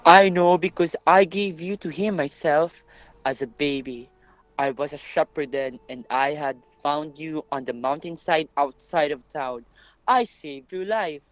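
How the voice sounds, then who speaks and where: A man's voice: on the high side, measured pace, no echo, natural voice, rough recording. A man playing a plain-spoken messenger and former shepherd in a readers' theatre Greek tragedy, declaiming his lines earnestly. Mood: reassuring.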